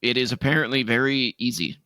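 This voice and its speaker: A man co-hosting a casual gaming podcast, laughing often and reading out news items in a relaxed drawl. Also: medium pitch, brisk, no room sound. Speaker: podcast co-host.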